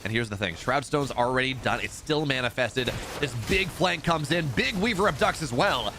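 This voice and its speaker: A man casting a live strategy game match, excitable in the fights and relaxed and rambling when he chats with viewers. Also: medium pitch, moderate pace, music in the background. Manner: excitable play-by-play, rising in big fights, relaxed and rambling between games. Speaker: esports caster. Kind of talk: live game commentary and chat reading.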